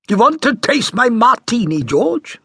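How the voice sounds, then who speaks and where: Male narrator playing a languorous bohemian mother, opinionated, bold, high-pitched, swooping intonation, storybook character. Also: big pitch swings, normal speed, creaky, bright, forceful tone, slightly rough, no room sound, heavily acted. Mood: languorous, coaxing.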